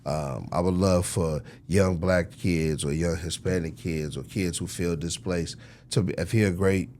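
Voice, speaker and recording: A man's voice: low voice, fairly even intonation, unhurried, dark tone, slight background noise. An American man being interviewed as a record executive, talking casually in slang with lots of conversational tags.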